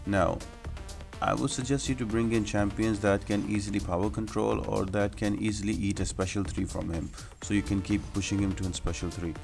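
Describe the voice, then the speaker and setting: Male gaming guide host, low pitch, little variation in tone, explanatory walkthrough commentary, faint background music. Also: level tone, fairly quick, creaky, darkish tone.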